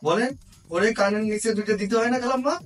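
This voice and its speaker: An actor with a Bangladeshi accent playing a pushy, effusive village visitor who acts like an old friend in a short-film drama. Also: male voice, high voice, steady tone, quick pace, natural voice. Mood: chummy. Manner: effusive, insistent, colloquial. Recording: distant mic with background music.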